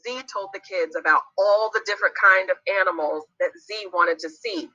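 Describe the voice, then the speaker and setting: A woman's voice: medium pitch, moderate pace, bright, forceful tone, muffled recording. A woman narrating a children's read-along story expressively, putting guiding questions to young listeners.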